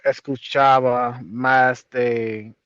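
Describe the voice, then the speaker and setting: A man from Chicago with an American accent answering casually as an interview guest in learned Spanish, halting and correcting himself. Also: mid-range voice, level tone, a bit bright, no echo, dull audio. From Chicago, United States. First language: English. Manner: halting, self-correcting second-language speech.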